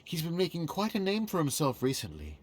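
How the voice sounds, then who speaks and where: Friendly gossipy merchant, animated and folksy, game soundtrack under. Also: male voice, fairly high pitch, fairly quick, over music. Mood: chatty. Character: friendly older shopkeeper, chatty and gossipy. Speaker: game character.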